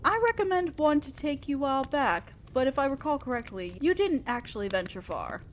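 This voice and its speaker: A woman's voice: mid-range voice, slight background noise, natural voice. A woman playing a refined, teasing demon lord in an audio roleplay, addressing a mortal servant with genteel, indulgent, theatrical playfulness. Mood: reassuring.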